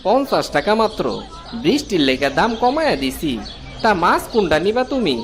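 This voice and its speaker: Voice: mid-range voice, sing-song, normal speed, faint music behind, natural voice. An actor with a Bangladeshi accent voicing a brusque but kindly male fishmonger at a village market in a cartoon. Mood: brisk. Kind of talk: Bengali fairy tale cartoon dubbing.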